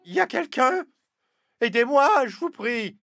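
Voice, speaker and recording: An actor voicing a carefree, vain male cicada musician in a French children's animated fable, with a theatrical, playful, sing-song delivery. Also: mid-range voice, a little slow, vocal fry. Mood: wistful, pleading. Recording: loud music behind the voice.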